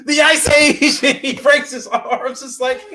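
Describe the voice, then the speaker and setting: A man co-hosting a casual podcast chat, talking in an animated, halting way and repeating phrases for emphasis. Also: very high voice. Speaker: podcast co-host.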